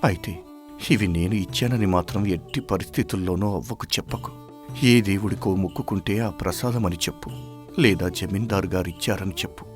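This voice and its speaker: A man's voice: deep voice, normal speed, lightly acted. A man playing a sick, guilt-ridden village farmhand who hides his suffering in a dramatized moral story, anguished and heavy-hearted. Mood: resigned, pleading. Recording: music behind the voice.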